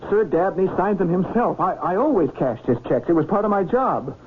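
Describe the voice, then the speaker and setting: A man's voice: sits high, animated, fairly quick, breathy edge, narrow, tinny audio. An actor playing a nervous, defensive male secretary, stammering and earnest, in a vintage radio drama. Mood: anxious confession. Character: nervous, defensive gentleman's secretary under suspicion. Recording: thin, noisy old radio recording.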